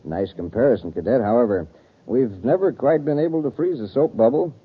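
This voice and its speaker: A man's voice: medium pitch, brisk, breathy edge, natural voice. An actor playing an earnest, courteous male scientist who explains things precisely in an old-time radio drama. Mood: explaining, courteous. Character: earnest male scientist, a doctor running a space project. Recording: muffled, thin vintage radio recording.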